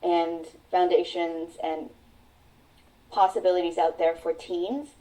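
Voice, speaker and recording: Earnest woman, low mellow distant voice. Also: little pitch movement. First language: Mandarin. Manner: earnest, reflective, drawn-out phrasing. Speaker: podcast guest. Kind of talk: personal storytelling in an interview. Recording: voice sounds distant from the mic.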